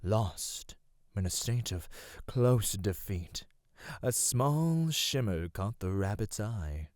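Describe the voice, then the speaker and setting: A man giving a measured, theatrical and formal storybook narration for an ASMR roleplay. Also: on the low side, expressive, darkish tone, dry recording, studio quality.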